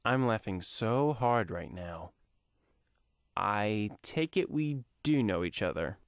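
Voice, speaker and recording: A man's voice: on the low side, expressive, slow, dry recording, studio quality. A man voicing a superhero's mocking, irritated brother in an audio comic story. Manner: mocking, exasperated.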